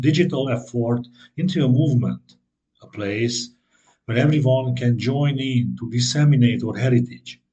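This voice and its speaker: A man's voice: medium pitch, warm tone, poor audio. A man hosting a history podcast, formal and earnest, presenting and asking questions. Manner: formal, earnest, some hesitant fillers when asking. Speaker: podcast host. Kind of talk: scripted intro and interview questions.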